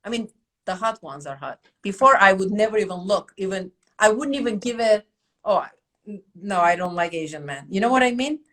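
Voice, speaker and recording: A middle-aged woman from Turkey with a Turkish accent, hosting a casual podcast chat in an animated, emphatic way. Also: medium pitch, brisk. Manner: animated, emphatic, asks rhetorical questions. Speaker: academy host.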